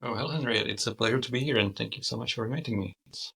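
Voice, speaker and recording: A man's voice: medium pitch, rapid-fire, vocal fry, bright, forceful tone, husky. A man from the Czech Republic, a guest on a video-call interview, explaining things in a hesitant way with many restarts. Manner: hesitant, frequent restarts and fillers. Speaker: guest, autonomous vehicle expert. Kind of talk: conversational interview.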